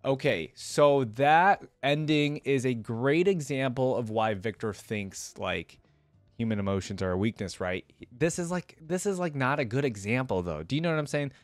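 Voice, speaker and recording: A man's voice: medium pitch, animated, moderate pace, no room sound, soft background music. A man in his thirties doing casual, conversational reaction commentary and story reading, close on a desk microphone. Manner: conversational, checks in with "you know". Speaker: reaction video host. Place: small indoor streaming room.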